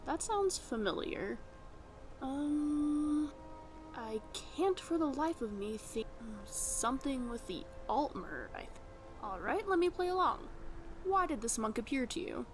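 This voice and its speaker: Lively, high-voiced scatterbrained young scholar. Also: female voice, unhurried. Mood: puzzled. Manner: haughty, distracted, hesitant asides. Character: scatterbrained, bookish, impatient young female scholar companion. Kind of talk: video game companion dialogue. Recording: game music behind the voice.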